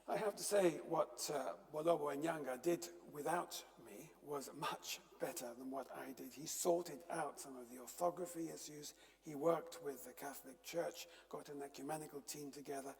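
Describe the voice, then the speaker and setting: Gravelly older man lecturing in a church hall. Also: on the high side. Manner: measured, wry storytelling with frequent ums. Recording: long reverb of a church hall.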